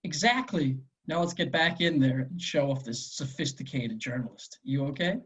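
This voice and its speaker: A man's voice: a little high, expressive, moderate pace, a bit bright, husky. A man playing an encouraging young journalist, insistent and coaxing, in an amateur script table read. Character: encouraging young male journalist, supportive drinking companion.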